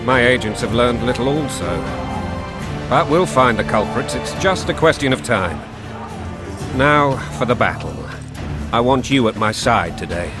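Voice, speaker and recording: A man's voice: mid-range voice, animated, measured pace, lightly acted. An actor playing a proud, irascible middle-aged king in a fantasy game, imperious and sardonic. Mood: gruff, amused. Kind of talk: dramatic fantasy game acting. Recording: loud dramatic music behind the voice.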